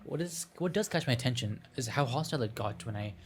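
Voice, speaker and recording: A man doing a casual game playthrough, reading the story text aloud in a halting way, stumbling over words. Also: mid-range voice, animated, slight background noise. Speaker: streamer playing a visual novel.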